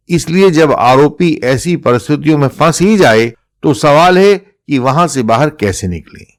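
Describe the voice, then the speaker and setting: A man with an Indian accent delivers a scripted legal explainer in a formal, emphatic, rhetorical manner. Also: a little high.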